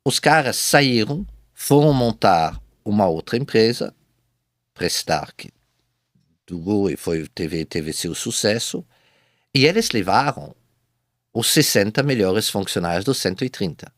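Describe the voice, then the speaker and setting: A man speaking Portuguese as a second language, a guest telling his business story in a halting way, with many repeated false starts. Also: on the low side, lively intonation, no echo, studio quality. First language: not Portuguese. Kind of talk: casual interview storytelling.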